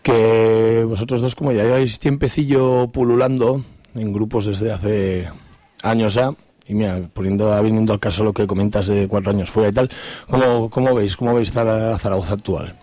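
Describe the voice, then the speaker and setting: A man's voice: fairly low pitch, fairly even intonation, normal speed, hardly any pauses, warm tone, dry recording. A man with an accent from Spain hosting an informal radio interview, with hesitant, rambling phrasing. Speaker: radio host interviewing a band. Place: radio studio.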